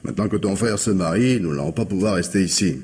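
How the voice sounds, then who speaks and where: Brooding middle-aged husband played by a man, French radio drama: low register, husky, proud, dry irony and bitterness. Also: fast, a little mellow, a little hiss or hum, lightly acted. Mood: guarded, sombre. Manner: bitter, guarded, ironic. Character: proud, brooding husband and former tropical forester.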